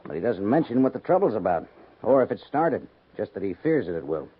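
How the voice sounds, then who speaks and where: An actor playing a concerned commanding army major in a 1950s radio western, thoughtful and conversational with quiet authority. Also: male voice, fairly low pitch, big pitch swings, fairly quick, slightly rough. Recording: dull 1950s radio, faint noise.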